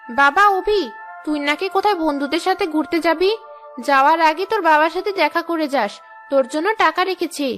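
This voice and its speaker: Woman acting a shrill, haughty mother-in-law. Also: fairly quick, a bit bright, faint music behind. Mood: indulgent. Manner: bossy, contemptuous. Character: haughty, scolding mother-in-law of a landlord household. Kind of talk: cartoon dialogue dub.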